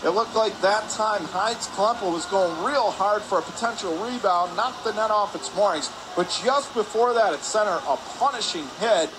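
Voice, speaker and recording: A man doing excitable live radio play-by-play of a hockey game, shouting on big plays. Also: high-pitched, normal speed, hardly any pauses. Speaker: play-by-play announcer. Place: indoor ice hockey arena. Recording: noisy arena broadcast with rink ambience. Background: loud ambient arena noise.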